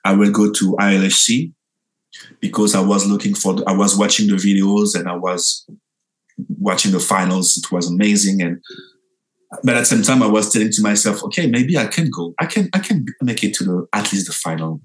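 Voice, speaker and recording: A young man with a French accent, a dancer telling his story in an interview, animated and full of halting restarts. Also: poor audio. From France. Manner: animated, lively pitch jumps, halting restarts.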